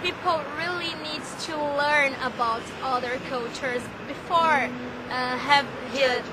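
A woman's voice: high voice, slow, background noise. A young woman student from Brazil, answering interview questions outdoors and searching for words as she talks. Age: young adult. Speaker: university student. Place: outdoors by a garden of palms.

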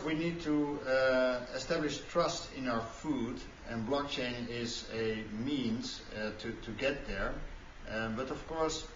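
A man's voice: medium pitch, a little hiss or hum. A man standing indoors in front of a patterned wall, answering interview questions earnestly, with some fillers. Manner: earnest, gestures while speaking, some fillers. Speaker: guest speaker from a food blockchain company. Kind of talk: filmed video interview answers. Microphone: camera mic at a distance. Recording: echoey room from a distance, dull sound.

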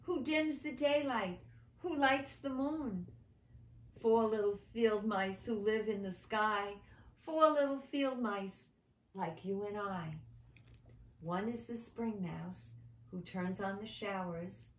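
A dreamy little field mouse poet from a storybook, voiced by a woman, gentle and shy, who speaks in soft, thoughtful tones and takes his time. His words carry a roomy echo. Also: mid-range voice, slow, close to an everyday voice. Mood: recited.

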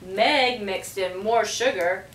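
Middle-aged woman, storybook reader: unhurried, animated, roomy distant-mic sound. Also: mid-range voice, slight background noise. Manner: measured, expressive storytelling. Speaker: school principal reading aloud. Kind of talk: storybook read-aloud. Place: small indoor room with block walls. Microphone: distant camera microphone. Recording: roomy, distant camera microphone.

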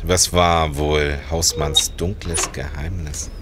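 A man playing a shrewd, composed, suspicious police investigator in a period crime radio play, speaking deliberately and with command. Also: very deep voice, fairly even intonation, noisy background. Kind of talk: German period crime audio drama.

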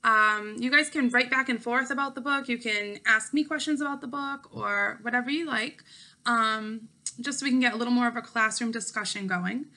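Bright-voiced woman teacher reading aloud. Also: a little high, moderate pace. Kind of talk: read-aloud storytelling with casual class talk. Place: home kitchen, indoors.

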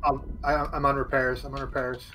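Calm bright-voiced man calling out moves. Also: medium pitch, brisk, faint music behind, rough recording. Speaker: guest streamer. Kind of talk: casual gaming chat.

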